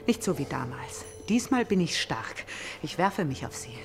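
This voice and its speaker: An actress playing a haunted, vengeful young princess in a theatrical radio tragedy, declaiming intensely between hushed murmurs and outbursts. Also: female voice, low-pitched, big pitch swings, normal speed, faint music behind. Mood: obsessed, vengeful.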